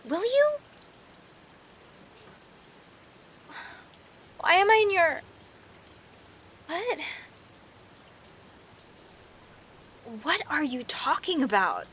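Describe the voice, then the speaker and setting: A woman's voice: very high voice, exaggerated voice. A woman playing a friendly, teasing young female werecat in a comedic audio roleplay, talking to herself expressively with hesitant stammers. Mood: startled, flustered. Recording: steady rain ambience noise behind voice. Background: rain ambience.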